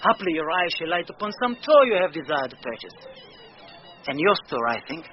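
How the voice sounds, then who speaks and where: An actor playing a devoted, anxious sea captain, speaking verse earnestly in classic radio Shakespeare style. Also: male voice, on the high side, very animated. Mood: guarded, generous. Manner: earnest, measured verse delivery. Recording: dull, faintly noisy old radio broadcast.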